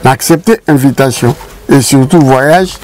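A man's voice: medium pitch, normal speed, a little mellow. An older man from Congo Kinshasa, seated in a small indoor studio, telling community history in emphatic casual talk. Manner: emphatic, mixes French and Lingala. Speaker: show guest and narrator of community history. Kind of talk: casual studio chat, storytelling.

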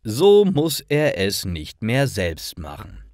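A man reading a scripted story for language learners, with clear and careful, expressive enunciation. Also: lowish voice, takes it slowly, dry recording, studio quality. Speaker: narrator of stories for language learners.

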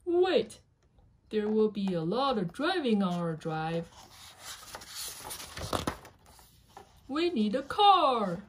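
A woman voicing an excitable, fussy elephant who loves planning, in a deliberate, emphatic picture-book read-aloud. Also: medium pitch. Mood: insistent. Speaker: parent reading aloud with their child. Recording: noisy home recording with clicks.

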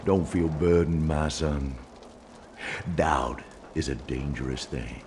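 An actor playing an older, righteous and controlling cult pastor in a game, preachy and deliberate. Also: male voice, a bass voice, darkish tone, slight background noise. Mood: cold menace. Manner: preachy, deliberate, biblical phrasing. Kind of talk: dramatic game cutscene acting.

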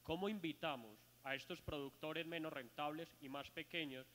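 A man's voice: a little high, steady tone, normal speed, fairly bright tone. A male moderator summing up a talk and asking the speaker a question, emphatic and repeating key words. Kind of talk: moderating a conference Q&A. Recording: reverberant hall, faint hum.

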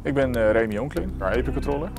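A man's voice: mid-range voice, lively intonation, fast, creaky, over music. A man in his thirties, an airport controller explaining his tasks in an orderly way. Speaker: apron controller, bus coordinator. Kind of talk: documentary interview about work. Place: glass-walled airport control tower office.

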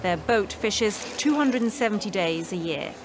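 A woman's voice: mid-range voice, expressive, measured pace, noisy background. A woman reporter reading scripted narration for a news documentary. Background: background music.

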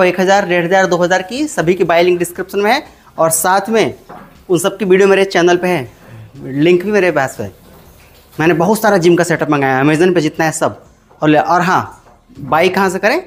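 A man hosting a casual unboxing vlog, chatting with viewers, calling them brother and repeating his points for emphasis. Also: high-pitched. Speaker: vlogger.

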